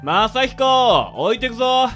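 A man playing a loud, teasing teenage sidekick in a radio drama, with music behind. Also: extremely high pitch, big pitch swings, a little slow, full character voice. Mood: calling out. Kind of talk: amateur radio drama acting.

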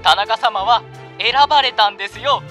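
An actor playing an unctuous, uncanny contractor of lives in a radio drama, speaking with exaggerated, theatrical politeness. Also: male voice, high-pitched, normal speed, lightly acted. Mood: coaxing, obliging. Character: unctuous, uncanny male life contractor offering sinister favors. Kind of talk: Japanese radio drama acting. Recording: music behind the voice.

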